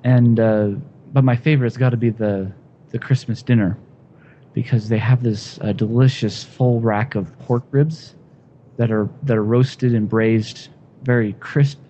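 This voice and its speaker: A man with a dark, mellow voice talks in a relaxed, unhurried way. He hesitates now and then, and a faint rumble of background noise sits under his speech. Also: muffled recording. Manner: relaxed, hesitant, sings a folk tune briefly. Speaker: guest sharing Christmas songs. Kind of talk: casual chat.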